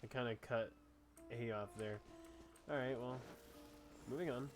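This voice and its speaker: A man's voice: medium pitch, steady tone, unhurried, faint music behind. A man casually commentating as he plays a video game, rambling and restarting his sentences as he thinks aloud. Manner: rambling, trails off and restarts a lot. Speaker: gamer commentating a playthrough.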